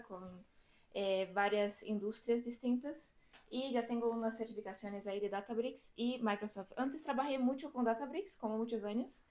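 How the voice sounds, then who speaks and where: A woman from Brazil with a Brazilian Portuguese accent, giving a conference tech talk in a lecture hall, hesitant with many fillers and restarts. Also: a little high. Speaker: data engineering team lead presenting at a conference. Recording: echoey lecture room.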